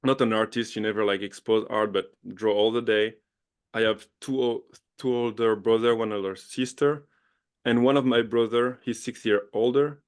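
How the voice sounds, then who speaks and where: A man's voice: fairly low pitch, fairly even intonation, normal speed, clean tone. A man in his thirties with a French accent, chatting eagerly as a podcast guest, often laughing and restarting his sentences. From France. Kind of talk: casual interview chat.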